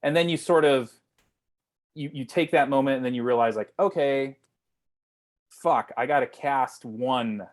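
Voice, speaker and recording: A man's voice: medium pitch, measured pace, pauses often. A man speaking casually as a writer-director in a video call Q&A, rambling informally and often repeating his words. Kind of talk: casual Q&A discussion.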